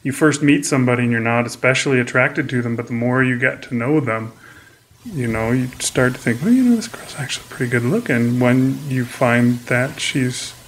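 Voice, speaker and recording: A man's voice: medium pitch, moderate pace, a little hiss or hum, rough recording. A man co-hosting a casual podcast, laid-back and teasing, who laughs often. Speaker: podcast co-host. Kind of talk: casual chat.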